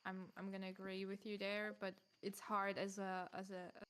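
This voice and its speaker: A woman with a German accent whose first language is German, chatting on a live stream. She talks in an animated, joking way, with frequent laughs and casual swearing. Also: mid-range voice, normal speed.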